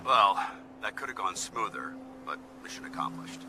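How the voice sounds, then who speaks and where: An actor playing a stern, disciplined military paladin in a video game, speaking formally and commandingly, in clipped phrases. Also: male voice, low-pitched, animated, moderate pace, noisy background. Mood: approving, formal. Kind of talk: scripted video game dialogue. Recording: loud music behind the voice.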